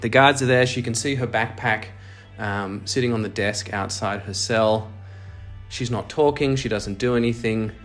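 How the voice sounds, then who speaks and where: A man with a lowish voice narrates a solo role-playing story. He switches between written prose and casual commentary on the game, with soft music behind him. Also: normal speed. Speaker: host and solo role-player of an audio drama.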